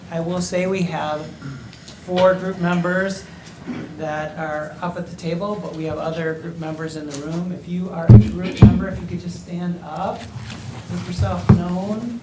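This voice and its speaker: A man's voice: high voice, very noisy. A man facilitating a community group, introducing its presentation to a school board in a chatty, emphatic, hedging way. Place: public meeting room.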